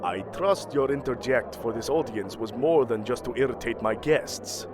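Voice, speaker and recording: A man's voice: medium pitch, normal speed, background noise, close to an everyday voice. A man playing a proud, commanding king of the gods in an audio drama, with a regal, formal and imperious manner. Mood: measured, gracious.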